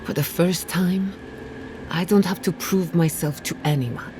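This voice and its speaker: Woman acting a low-voiced, driven female scholar. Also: unhurried, background noise, natural voice. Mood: light, hopeful. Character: driven, wry female scholar, guarded about family loss. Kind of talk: video game voice acting. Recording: music playing behind the voice.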